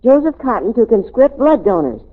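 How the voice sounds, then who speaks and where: Droll, high-voiced precocious kid. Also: female voice, lively intonation, normal speed, phone-quality sound. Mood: excited teasing. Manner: mock-formal, wry. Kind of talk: 1940s radio comedy acting. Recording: thin old radio recording.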